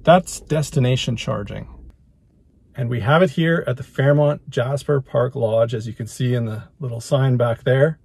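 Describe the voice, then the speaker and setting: A man in his thirties narrating a road-trip vlog from inside his car, explaining things in a relaxed way with fillers and restarts. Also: medium pitch, moderate pace, creaky, husky. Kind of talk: casual vlog narration while driving. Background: music playing under the voice.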